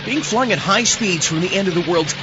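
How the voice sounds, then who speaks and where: Man acting an exasperated grumbler, highish expressive voice, sarcastic, comic audio drama, loud backing sound. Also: fairly quick. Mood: grumpy. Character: grumpy, exasperated sidekick to a mad scientist. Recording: dull audio, loud music and vehicle.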